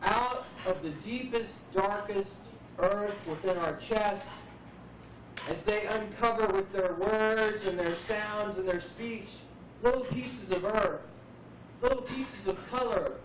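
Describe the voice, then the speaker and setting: A man in his forties speaking as a poet at an open mic, musing reflectively and unhurriedly with dramatic pauses. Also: sits high. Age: 40s. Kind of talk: live spoken-word musing. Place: live open mic venue, roomy. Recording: muffled noisy VHS recording, echoey, distant.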